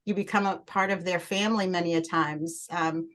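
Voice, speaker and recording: Lowish-voiced woman with a Portuguese accent. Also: steady tone, a bit bright. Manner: earnest, halting with restarts. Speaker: mortgage loan originator. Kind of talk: casual podcast introduction.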